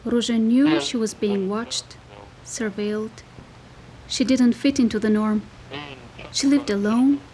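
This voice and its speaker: Higher-voiced woman narrating a story. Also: takes it slowly, long pauses, mellow, low-quality recording. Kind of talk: scripted literary audio-drama narration.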